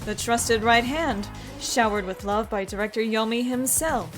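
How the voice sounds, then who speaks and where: A woman's voice: on the high side, unhurried, close to an everyday voice. A woman voicing a haughty, devoted female Peacekeeper vice director in a video game, formal and self-important. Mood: proud. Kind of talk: reading video game dialogue aloud in character. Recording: loud game music behind the voice.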